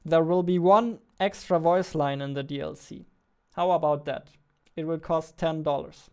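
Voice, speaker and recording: A man in his twenties talking casually as a game developer while coding, halting as he works at his desk. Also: on the high side, steady tone, darkish tone, clean tone. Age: 20s. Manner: halting while typing, reads text aloud slowly. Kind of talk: casual narrated coding livestream or devlog. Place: indoors, at a computer desk. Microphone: studio mic on boom arm, close.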